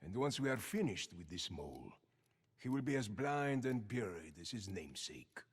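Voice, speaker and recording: A man playing a bitter, sardonic Soviet officer in a video game, threatening, with a rough, husky voice. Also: fairly low pitch, big pitch swings, normal speed. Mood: vindictive. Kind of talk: cinematic video game acting.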